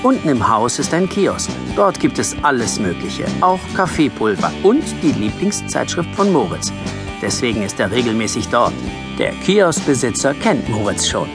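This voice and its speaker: A man narrating a scripted children's story with lively storytelling delivery. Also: medium pitch, normal speed, music in the background. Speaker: audiobook narrator.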